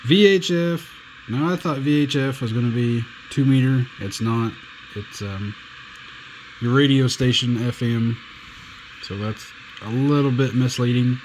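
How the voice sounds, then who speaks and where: A man's voice: mid-range voice, a little hiss or hum, low-quality recording. A man giving a casual, plainspoken hands-on demo of a pocket radio, walking through its buttons and bands. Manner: relaxed, plainspoken, slow and unhurried. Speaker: radio reviewer.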